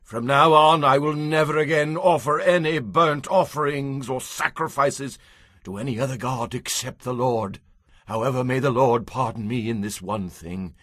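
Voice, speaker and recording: Actor as a bright, forceful proud commander. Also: male voice, medium pitch, normal speed, no echo, studio quality, natural voice. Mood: earnest, humbled. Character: proud, indignant military commander turned earnest believer. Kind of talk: dramatized audio Bible acting.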